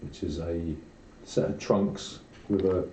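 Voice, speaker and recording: Deep, very mellow man explaining game rules. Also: fairly even intonation, takes it slowly. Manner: instructive, checks with tag questions. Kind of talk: casual tabletop game talk. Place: indoor room around a game table. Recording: roomy, muffled, distant mic, hissy noise.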